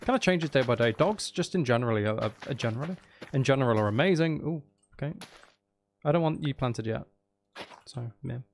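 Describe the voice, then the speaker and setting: A man's voice: mid-range voice, lively intonation, brisk, a little hiss or hum. A man chatting casually as he hosts a gameplay livestream, teasing his viewers with playful mock threats. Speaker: streamer, host.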